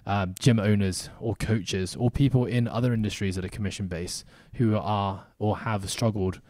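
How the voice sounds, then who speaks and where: A man's voice: fairly low pitch, fairly even intonation, normal speed, a little mellow, no echo, clean studio sound. A man hosting a podcast in casual interview style, chatty and upbeat with joking asides. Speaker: podcast host. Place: podcast studio in Hong Kong.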